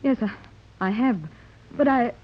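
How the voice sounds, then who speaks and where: An actress playing a nervous but game adventuress, hesitant and anxiously questioning, in a 1930s radio serial. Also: female voice, on the high side, measured pace. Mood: bewildered. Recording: muffled 1930s radio recording.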